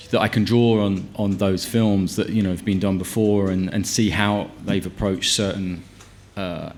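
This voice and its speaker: A man's voice: deep voice, a little hiss or hum. A film director answering at a press conference, with many restarts and fillers as he gathers his thoughts.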